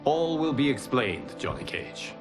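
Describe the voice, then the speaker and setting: An actor playing a solemn, commanding fire god in a video game, speaking in a formal, measured, grave manner. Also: male voice, medium pitch, sing-song, music in the background. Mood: calm, assured. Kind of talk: cinematic video game dialogue.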